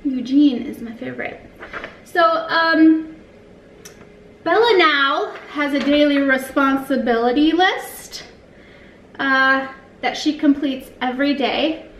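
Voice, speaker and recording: A woman's voice: high voice, slow pace, long pauses, fairly bright tone, a little hiss or hum. A woman in her thirties, a family vlogger, chatting casually to the camera in her kitchen with plenty of ums and uhs. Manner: chatty, loose, with fillers like um and uh. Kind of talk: casual vlog narration to camera. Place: kitchen of a family home, indoors. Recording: echoey room with faint music.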